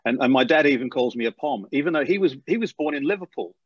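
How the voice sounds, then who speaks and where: A middle-aged man with an Australian accent, softened by decades in England, tells his story at a rapid clip. He stumbles and restarts often as he warms up. The recording is dry and a touch muffled. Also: mid-range voice, breathy edge, dry recording. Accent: Australian, softened by years in England. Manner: animated storyteller, quick with many restarts. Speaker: airline pilot guest. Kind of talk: storytelling interview. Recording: slightly dull lo-fi sound.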